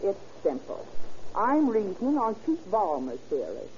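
A muffled old radio recording with faint noise carries a confident American woman records clerk. Her pitch swings lively over a mellow tone, and she explains briskly, like a born detective. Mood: eager, assured. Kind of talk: old-time American radio drama acting.

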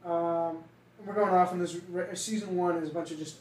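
A man's voice: high-pitched, fairly even intonation, brisk. A young man with a heavy Boston accent, co-hosting a casual podcast chat and rambling loosely with some swearing. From Massachusetts. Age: young adult. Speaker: podcast co-host. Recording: roomy, distant from the mic, faint ambient noise.

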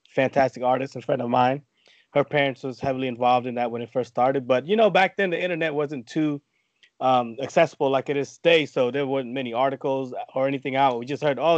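A middle-aged American man with a cold, chatting informally and slangily as an interview guest, rambling a little and clearing his throat. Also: medium pitch, no echo, lo-fi sound. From United States (Tacoma, Washington). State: under the weather with a cough, clearing throat. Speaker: podcast guest, community activist. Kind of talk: casual conversational interview.

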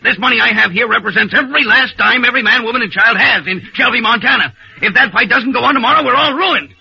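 A man's voice: extremely high pitch, quick pace, vocal fry, pushed and bright, husky, exaggerated voice. An actor playing a desperate, threatening American townsman in a 1940s radio drama, speaking with emphatic urgency. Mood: angry, threatening. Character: desperate, threatening townsman pleading for his town's fight. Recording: dull old radio broadcast.

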